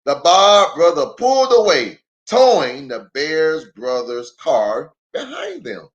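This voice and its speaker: A man reads a children's story aloud as an expressive storyteller. His voice sits a little high and moves up and down freely, at a slow, measured pace. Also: lo-fi sound. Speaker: pastor reading a storybook.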